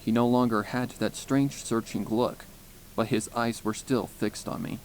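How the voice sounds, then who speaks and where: Man narrating a first-person dark story. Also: mid-range voice, fairly even intonation, unhurried, a little hiss or hum, low-quality recording. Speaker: fan fiction narrator.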